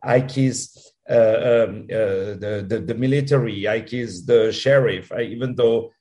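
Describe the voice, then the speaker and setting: A man with a French accent, a guest answering interview questions in a halting, effusive way with many restarts. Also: fairly low pitch. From France. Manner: halting, repeats words, warm and effusive. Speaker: musical producer guest.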